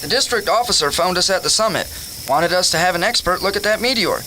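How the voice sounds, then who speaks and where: Excitable, high-pitched fire lookout. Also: male voice, quick pace, slight background noise, poor audio. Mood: eager. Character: eager, folksy fire lookout. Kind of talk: radio drama acting.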